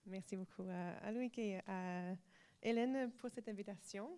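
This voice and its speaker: A woman's voice: medium pitch, dark tone, smooth. A woman in her forties from the United States with an American accent, giving a personal testimony at a church weekend in French with frequent hesitations. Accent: American English. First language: English. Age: 40s. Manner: halting, many hesitations, laughs lightly.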